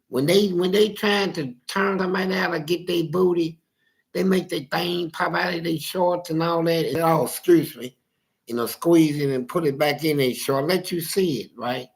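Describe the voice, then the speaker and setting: A man with a gravelly voice telling a story casually, in animated, profane street talk and acting out the dialogue. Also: on the high side, normal speed, rough recording. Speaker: interviewee telling his life story.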